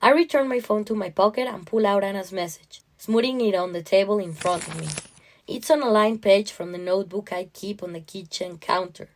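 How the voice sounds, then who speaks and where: A woman narrates a literary short story in the first person. Her delivery is measured and expressive, with a mid-range voice suited to reflective prose. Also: medium pitch.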